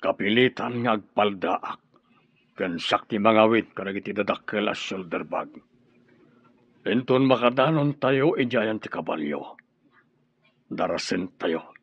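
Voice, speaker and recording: A man's voice: fairly low pitch, measured pace, gravelly, long pauses, slightly rough, no echo, dull audio, full character voice. A man acting a cautious schemer in an Ilocano radio drama, earnest and insistent, with an Ilocano accent. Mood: cautious, urgent. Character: cautious, scheming man planning a disguised getaway.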